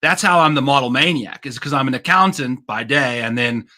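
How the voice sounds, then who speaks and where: A man's voice: medium pitch, brisk, bright, forceful tone. A man with a South African accent, a guest on a golf betting podcast, chatting casually with breezy, upbeat slang.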